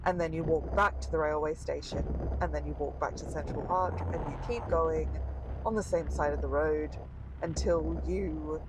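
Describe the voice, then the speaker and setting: A woman co-hosting a podcast on a road trip, chatting casually with halting, hesitant phrasing. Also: low-pitched, steady tone, close to an everyday voice. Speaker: podcast co-host. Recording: clear vehicle and outdoor background noise.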